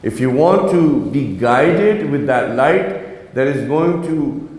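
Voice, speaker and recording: A man's voice: on the high side, animated, slow, a little mellow. A man whose first language is Urdu, giving an earnest religious talk to young people and often checking in with them. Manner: earnest, exhorting, asks the audience "right?". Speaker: religious lecturer. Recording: echoey reverberant hall.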